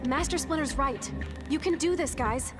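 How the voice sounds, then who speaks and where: Young woman played by an actress, high pitched, earnest, concerned, urgent delivery, loud game music behind. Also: normal speed, close to an everyday voice. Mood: encouraging. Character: young female reporter ally, earnest, alert.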